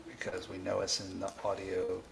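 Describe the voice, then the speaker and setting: A man hosting a live coding tutorial, thinking aloud in a halting way and repeating phrases as he reasons. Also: deep voice, monotone, creaky, a little hiss or hum. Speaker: programming tutor and livestream host.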